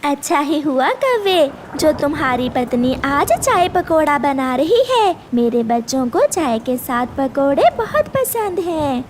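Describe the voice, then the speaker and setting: A woman's voice: sits high, sing-song, a little slow, few pauses, a little hiss or hum. A woman voicing a caring, protective mother sparrow in a cartoon with a motherly manner. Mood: pleased. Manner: affectionate, motherly.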